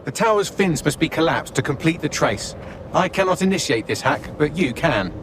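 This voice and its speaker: An actor playing a sardonic, unflappable artificial intelligence in a video game, with a deadpan and articulate delivery. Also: male voice, fairly high pitch, normal speed, background noise, close to an everyday voice. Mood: calm instructing. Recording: music under the voice.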